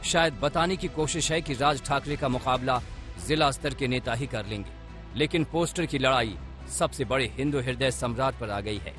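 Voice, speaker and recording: A man's voice: medium pitch, normal speed, a bit bright. A man reading a scripted news report as a voice-over, with dramatic, punchy delivery. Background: background music under voice-over.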